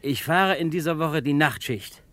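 An actor playing a talkative, punctual bus conductor in a German radio drama, precise and sure of himself. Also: male voice, a little high, normal speed, bright, forceful tone. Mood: confident, precise. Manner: talkative, precise, matter-of-fact.

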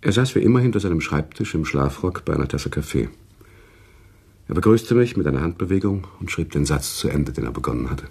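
A very deep, husky, earnest gentleman. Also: very animated, a little fast, exaggerated voice. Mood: calm, narrating. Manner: earnest, courteous. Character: concerned, morally indignant male friend and narrator. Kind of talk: German radio play acting and narration.

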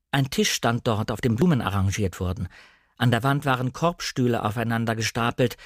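A man's voice: mid-range voice, dry recording, clean studio sound. A man narrating an audiobook, reading scripted literary first-person prose in a composed, careful storytelling manner.

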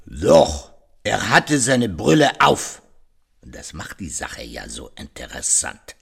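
A man playing a shrewd, self-assured middle-aged detective in a radio crime drama, explaining deliberately with rhetorical, suspenseful questions. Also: lowish voice, wildly swooping pitch, vocal fry, a bit bright, breathy edge, full character voice. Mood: pointed, amused.

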